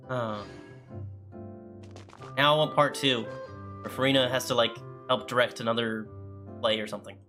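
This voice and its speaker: Very low-voiced woman gamer. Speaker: gameplay video host. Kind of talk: casual commentary on a game. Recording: game music behind.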